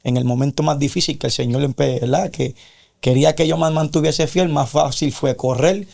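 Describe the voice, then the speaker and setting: A man's voice: fairly high pitch, steady tone, normal speed, gravelly, darkish tone. A man giving a personal religious testimony as an interview guest, earnest and a little preacherly.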